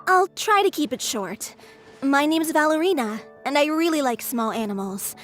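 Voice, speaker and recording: A woman's voice: high-pitched, animated, normal speed, fairly bright tone, soft background music. A woman voicing an earnest, anxious young woman who rescues animals, speaking politely and eagerly as a game character. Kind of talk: English game dub voice acting.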